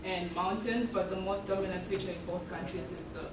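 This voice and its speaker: A young woman who grew up in East Africa gives a student presentation, earnest and measured, with many ums between her points. She sounds a little far from the mic in an echoey room, with clear noise behind her. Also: fairly low pitch, fairly even intonation. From South Sudan and Uganda. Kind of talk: presentation talk. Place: event room.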